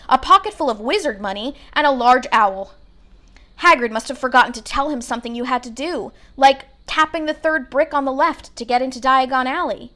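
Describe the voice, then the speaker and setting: A woman narrating a children's novel aloud in an expressive storybook style. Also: on the high side.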